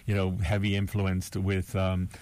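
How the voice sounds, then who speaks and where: Halting, deep-voiced older man from Miami. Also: monotone, darkish tone, dry recording. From Miami, Florida, USA. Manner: thoughtful, halting, many fillers. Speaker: college president, guest. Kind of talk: casual interview.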